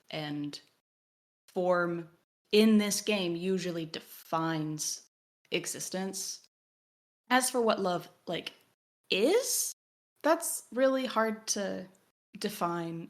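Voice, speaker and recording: A woman co-hosting an analytical conversation, phrasing her points in a deliberate, measured, essay-like way. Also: fairly low pitch. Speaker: podcast co-host.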